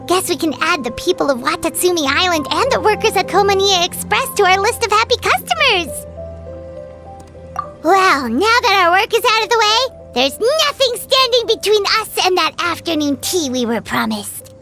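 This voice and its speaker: A woman's voice: very high voice, animated, moderate pace. A woman voicing a cheery, helpful little girl alchemist in a video game, with a bubbly, childlike manner. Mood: pleased. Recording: game audio with loud music behind. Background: background game music.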